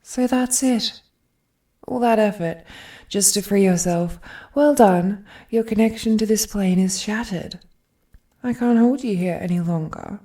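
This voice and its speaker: A woman's voice: mid-range voice, unhurried, dark tone, natural voice. A woman playing an ancient, immensely powerful female villain, possessive, wounded and regal, in a brooding, theatrical romantic audio roleplay with whispered phrases. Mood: bitter disappointment. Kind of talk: dramatic romantic audio roleplay, addressed to the listener.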